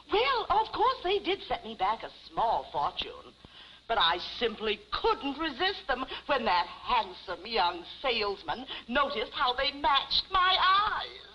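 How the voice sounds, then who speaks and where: A woman's voice: a little high, big pitch swings, takes it slowly. A woman playing a vain, wealthy society lady in a gushing, theatrical 1950s television comedy style. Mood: gushing, smug. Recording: dull vintage 1950s TV soundtrack, faint noise.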